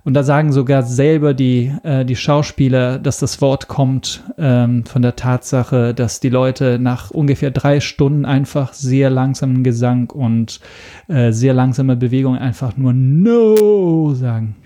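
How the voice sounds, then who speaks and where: A man's voice: medium pitch, steady tone, a little slow, warm tone. A man from Italy, a non-native German speaker with an Italian accent, answering interview questions with frequent hesitations. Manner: hesitant, many ums. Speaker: podcast guest. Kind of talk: casual interview talk.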